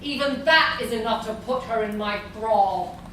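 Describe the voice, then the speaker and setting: Lady acting a grandiose evil gargoyle sorceress, slow and commanding, super echoey noisy hall. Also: on the high side, a bit bright, distant mic, lightly acted. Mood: scornful, menacing. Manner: grandiose, commanding, deliberate delivery. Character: imperious female gargoyle sorceress villain, grandiose and merciless. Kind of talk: live radio play acting. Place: live convention stage reading in a large room.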